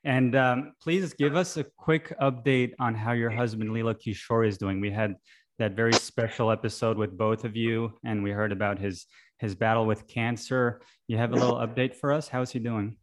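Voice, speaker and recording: Male podcast co-host, friendly and halting, given to ums and restarts. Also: mid-range voice, fairly even intonation. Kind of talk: casual chat and interview hosting.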